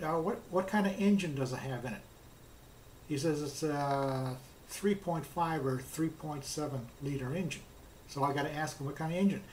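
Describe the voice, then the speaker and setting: Male, slightly high voice, plainspoken casual storyteller recounting who said what, with faint background noise on the recording. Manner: plainspoken, recounts dialogue with "he says, I says". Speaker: car customer telling his experience.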